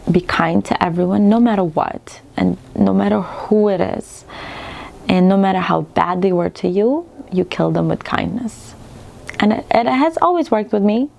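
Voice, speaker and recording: A woman in her thirties from Ukraine with a Ukrainian accent, chatting casually and reflectively to her vlog viewers, pausing mid-sentence. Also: mid-range voice, darkish tone. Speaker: needlework vlogger. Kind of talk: casual personal vlog chat. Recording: clearly audible background room noise.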